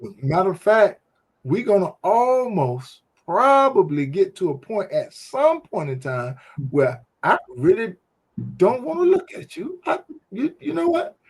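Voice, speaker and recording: A man's voice: sits high, a little slow, a little hiss or hum. Emphatic, preachy talk show man. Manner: emphatic, preachy, animated storytelling. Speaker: podcast co-host. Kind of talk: casual faith-based talk show chat.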